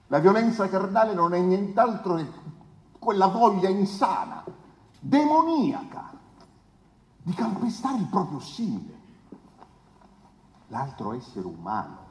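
An actor playing a pompous male defence lawyer in a 1970s trial, pleading with grand, insinuating rhetoric and dramatic pauses in a theatrical stage drama. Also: high-pitched, big pitch swings. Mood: declamatory, emphatic. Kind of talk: theatrical courtroom oration. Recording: echoey reverberant hall, noisy background.

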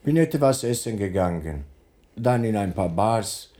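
A man's voice: on the low side, expressive, measured pace, mellow. An actor playing a guarded businessman sick with a cold, in clipped broken phrasing. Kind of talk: radio crime drama acting.

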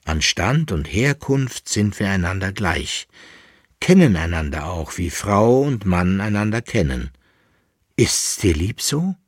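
A man's voice: deep voice, very animated, slow, breathy edge, dry recording, clean studio sound, heavily acted. A man voicing a resolute young noblewoman in an audiobook, speaking firmly and formally, with a commanding, secretive manner. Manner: firm, hushing, formal old-fashioned speech.

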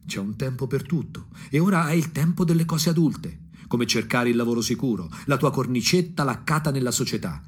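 An actor playing a disillusioned provincial ex-punk looking back with bitter irony, in a husky, brooding radio drama monologue with dramatic pauses. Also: male voice, mid-range voice, lively intonation. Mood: agitated, ironic. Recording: music playing under the voice. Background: guitar music.

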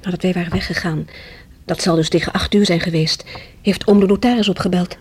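An actress playing an earnest, polite young heiress in a radio play. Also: female voice, fairly low pitch, steady tone, fairly quick, slightly rough. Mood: worried. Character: Rosa, earnest young engaged heiress niece.

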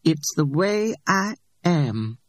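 A man's voice: fairly high pitch, big pitch swings, takes it slowly, no room sound, voice pushed up, full character voice. A man voicing a tough, streetwise older woman who talks in a drawling, folksy way. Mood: storytelling.

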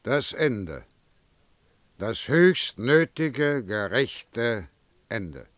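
A condemned prisoner in a verse radio drama, defiant and fatalistic. His words come slowly and tersely, with wide swings of pitch, in a dry studio sound. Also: sing-song, fairly bright tone, no room sound, heavily acted. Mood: grim, resigned. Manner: terse, weighty verse delivery. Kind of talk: German radio play verse acting.

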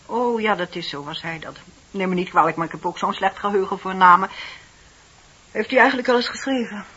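An actress playing a kindly, motherly office worker of forty-five in a radio play, with a husky voice and a friendly, modest manner. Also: mid-range voice, a little fast, bright, forceful tone. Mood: curious. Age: 40s. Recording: dull, muffled old radio recording.

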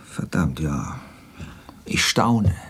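Husky, cynical drinking man. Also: sits low, big pitch swings, drawn-out words, a bit bright, full character voice. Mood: mocking, melancholy. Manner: dry, sardonic. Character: cynical hard-drinking man, mockingly gallant and melancholy. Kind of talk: German radio play dialogue.

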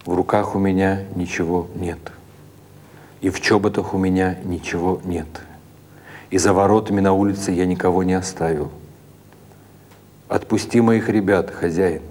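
A man's voice: sits low, steady tone, normal speed, long pauses, a little mellow, lightly acted. A man voicing a huge, unafraid old gang boss in an audiobook story, speaking in terse, plain demands. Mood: grave, demanding.

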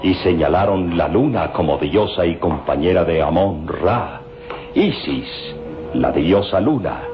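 An actor playing an elderly professor obsessed with ancient Egypt, speaking in a grandiloquent, emphatic radio drama style. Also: male voice, sits low, animated, measured pace. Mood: awed, rapturous. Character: elderly male archaeology professor, passionate, awed, obsessive about Egypt. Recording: dull vintage radio recording with music.

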